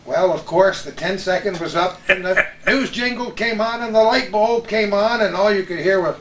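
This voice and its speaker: Older American man, high-pitched voice, roomy sound. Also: normal speed, a bit bright. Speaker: host, veteran radio broadcaster. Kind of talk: reminiscing, anecdotal chat with old colleagues. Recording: roomy echo with some background noise.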